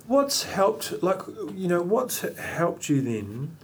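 A man's voice: sits high, very animated, takes it slowly. A man hosting a casual faith discussion, thinking aloud and rambling through his points with plenty of fillers. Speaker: podcast host.

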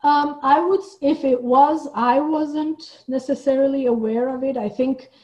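Dull-sounding, mellow-voiced woman, thoughtful interview guest. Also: high-pitched, steady tone, a little slow. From Iran. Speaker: podcast guest, playwright and theater director. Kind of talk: reflective interview conversation.

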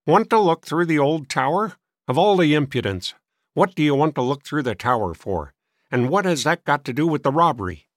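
Man voicing a testy old gentleman, raised pitch. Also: lively intonation, normal speed, dry recording, studio quality, close to an everyday voice. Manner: testy, scoffing, grumbling. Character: irritable, suspicious, stooped old gentleman. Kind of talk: audiobook character voice.

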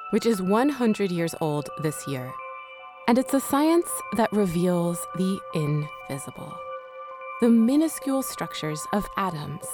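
Woman presenting a radio documentary over music. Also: medium pitch. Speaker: host. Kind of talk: scripted radio documentary narration.

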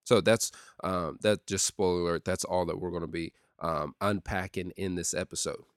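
Young adult youth pastor, very low deep voice, dry close sound, relaxed podcast chatter. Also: male voice, moderate pace, clear, resonant tone, dry recording. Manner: steady and conversational with many ums and uhs. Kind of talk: casual solo podcast talk.

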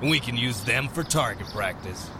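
A man's voice: mid-range voice, animated, moderate pace, noisy background, close to an everyday voice. An actor playing a bloodthirsty, sneering male mercenary in an audio drama. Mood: cruel, amused.

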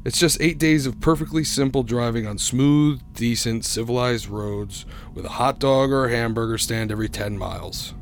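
A man's voice: medium pitch, normal speed, hardly any pauses, a little hiss or hum, natural voice. An actor playing a haunted young motorist who tells his eerie story in a radio drama, grave and suspenseful. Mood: breezy, reassuring. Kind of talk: radio drama narration and acting.

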